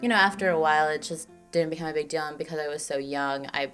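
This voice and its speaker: A woman's voice: deep voice, quick pace, fairly bright tone. A woman in her twenties from Chile, telling personal stories in a chatty, casual way, full of "like" and acted-out quotes. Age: 20s. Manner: chatty, lots of "like", acts out quotes. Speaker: documentary subject. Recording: music playing underneath.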